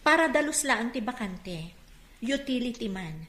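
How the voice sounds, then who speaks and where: A woman's voice: on the high side, lively intonation, unhurried, a bit bright. A Filipino woman acting a curt, bossy young woman who does the hiring at an inn, in a radio drama. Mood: curt, dismissive. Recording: roomy, echoing sound.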